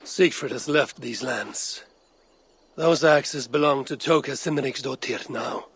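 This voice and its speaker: A man's voice: mid-range voice, takes it slowly, fairly bright tone, breathy edge, full character voice. A man playing a stern, steadfast Viking warrior in a dramatic video game, blunt and restrained. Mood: guarded.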